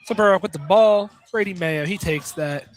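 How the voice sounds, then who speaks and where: A man calls a live basketball game for radio. His voice sits a little high, and he delivers the action in short, clipped phrases over slight background noise. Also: brisk. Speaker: play-by-play commentator.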